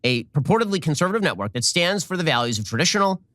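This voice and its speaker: A man delivering rapid, emphatic political commentary in an indignant tone. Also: fairly high pitch, very animated, a bit bright.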